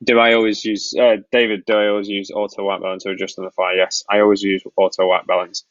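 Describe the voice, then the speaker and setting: A man from Lancashire in northwest England with a mild northern accent, hosting a casual live Q&A and answering viewers' questions in a relaxed, rambling way. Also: on the low side, fast talker, no echo, muffled recording. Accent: Northern English (Lancashire). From Blackpool, Lancashire, northwest England. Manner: relaxed, rambling, frequent ums and restarts. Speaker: wedding filmmaker hosting a live Q&A. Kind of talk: casual live-stream Q&A, reading out chat questions.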